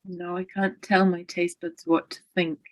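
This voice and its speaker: A woman in a reflective conversation answers questions slowly and thoughtfully. She adds self-deprecating humor. The audio is dry and close. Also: lowish voice, level tone, no echo, low-quality recording. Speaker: guest.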